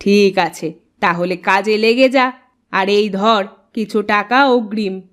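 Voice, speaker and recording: A woman's voice: mid-range voice, slow, fairly bright tone, soft background music. A woman voicing the bossy mistress of a big house in a cartoon, giving orders. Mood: instructing.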